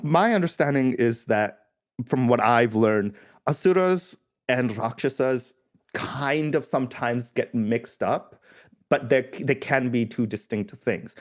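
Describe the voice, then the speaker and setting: A man from India talks as a guest on a casual podcast, explaining things thoughtfully and often correcting himself mid-sentence. His voice sits at an everyday middle pitch. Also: slow.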